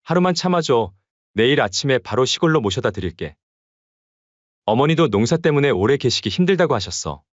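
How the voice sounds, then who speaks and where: An actor voicing a grown son quietly calming his wife in an audio drama. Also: medium pitch, a little fast, dry recording, highs cut off, lightly acted. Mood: placating. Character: placating adult son, eager to please his wife.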